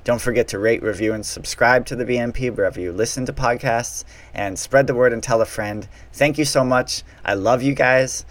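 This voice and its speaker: A man's voice: mid-range voice, normal speed, hardly any pauses. A man hosting a solo podcast, talking casually and sounding worn out as he wraps up. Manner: casual, rambling, tired. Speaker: podcast host.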